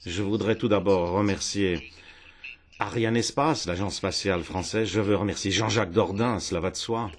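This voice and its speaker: Low-voiced male interpreter, halting and repetitive. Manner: halting, repeats phrases while catching up. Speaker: simultaneous interpreter. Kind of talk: interpreting a political speech. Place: parliament hemicycle.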